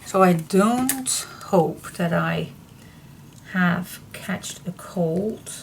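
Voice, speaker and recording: Slow, slightly hoarse crafting woman. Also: on the low side, slight background noise. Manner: chatty, slow and relaxed, talks to herself while she works. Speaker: papercraft tutorial host. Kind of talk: casual crafting tutorial talk.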